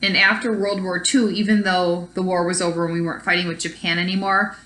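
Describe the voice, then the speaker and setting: Woman, elementary school teacher, very bright voice, expressive read-aloud, explanatory lesson delivery. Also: lowish voice, a little fast, rough recording.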